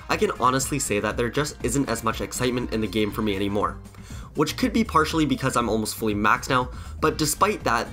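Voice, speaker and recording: A man's voice: mid-range voice, pushed and bright. A man, a gaming YouTuber with a cold, talks earnestly through his opinions with frequent restarts. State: sick with a cold, voice affected. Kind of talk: scripted-ish commentary to camera.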